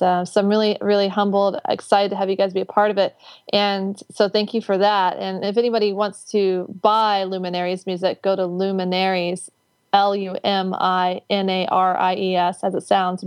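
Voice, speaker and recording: Woman hosting a chat, rambly and gushing, lots of ums, pretty monotone, dry sound. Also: mid-range voice, normal speed, clear, resonant tone, dry recording. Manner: effusive, rambling with many fillers and restarts. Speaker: host. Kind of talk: casual interview chat.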